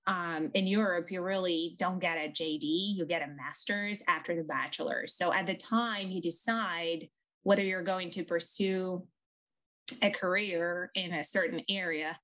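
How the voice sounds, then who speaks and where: Ukrainian-accented woman, low, thoughtful. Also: fairly even intonation, unhurried, fairly bright tone, rough recording. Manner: thoughtful, frequent ums. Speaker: lawyer, interview guest. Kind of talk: conversational interview.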